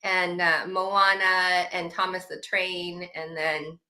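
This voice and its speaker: A woman's voice: fairly low pitch, level tone, slow, bright, forceful tone. A woman in her thirties from Washington, a guest chatting casually from home, laughing easily and restarting sentences. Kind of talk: casual interview talk.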